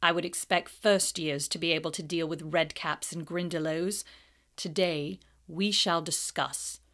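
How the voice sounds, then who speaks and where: A woman's voice: low-pitched, fairly even intonation, voice pushed down. A woman reading as a cold, silky male teacher. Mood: cold, menacing. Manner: slow, quiet, icy and sarcastic.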